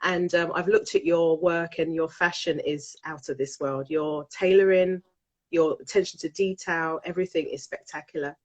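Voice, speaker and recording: A woman's voice: low voice, steady tone, moderate pace, dull audio. British lady host on a live video chat, stuffy cold voice, gushes a lot, repeats herself. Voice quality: nasal, congested with a cold. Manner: gushing, effusive, repeats phrases. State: has a cold. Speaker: host of a live online talk show. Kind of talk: casual live chat interview.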